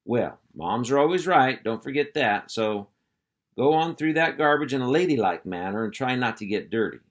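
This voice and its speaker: A man's voice: mid-range voice, expressive, moderate pace, lightly acted. A man voicing a boastful, self-important male cow dog who bosses a pack of pups, with a folksy drawl. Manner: folksy drawl, bossy and blustering.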